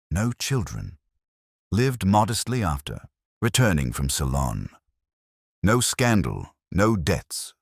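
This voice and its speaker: A brisk Victorian detective as a male audiobook reader plays him, coolly analytical and precise. The voice is very low and moves expressively, recorded dry. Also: slightly rough, no room sound, full character voice. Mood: musing.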